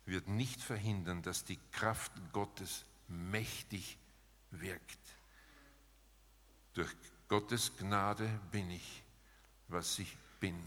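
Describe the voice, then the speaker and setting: A man preaching a sermon in an emphatic, rhetorical manner, setting out short phrases with pauses between them. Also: on the low side, sharp tone. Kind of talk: sermon with dramatized paraphrase, closing in prayer. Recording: long hall reverb.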